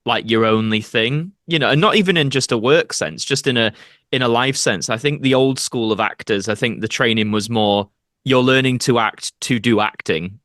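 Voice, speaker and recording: A man's voice: medium pitch, moderate pace, a bit bright, dry recording, studio quality. A young British man chatting casually as an interview guest, reflective and anecdotal. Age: young adult. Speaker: podcast guest, actor and YouTuber.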